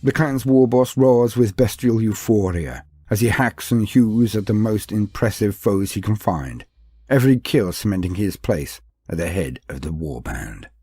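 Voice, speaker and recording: Low, mellow man in dramatic narration. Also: breathy edge, no echo. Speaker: narrator of a fantasy war-game lore video. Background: music.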